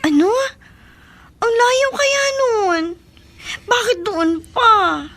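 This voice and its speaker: A woman's voice: very high voice, animated, very slow, fairly bright tone, slight background noise, full character voice. An actress playing a young pregnant woman who talks in an earnest, pleading and respectful way. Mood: hurt. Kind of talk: radio drama acting.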